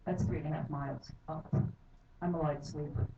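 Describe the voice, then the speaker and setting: Low-voiced woman testifying in an echoey chamber. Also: fairly even intonation, a little fast. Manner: earnest, heated, apologizes for anger. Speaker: public testifier. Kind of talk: public testimony at a council hearing. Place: county council chamber. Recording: echoey hall from far off, dull and noisy.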